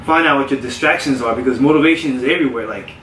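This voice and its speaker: Man, personal trainer, candid storyteller, mid pitch, faint background ambience. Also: rapid-fire, a little hiss or hum. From South Florida. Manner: relaxed, candid storytelling with frequent restarts. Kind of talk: casual podcast interview. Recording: roomy, distant mic with faint ambience.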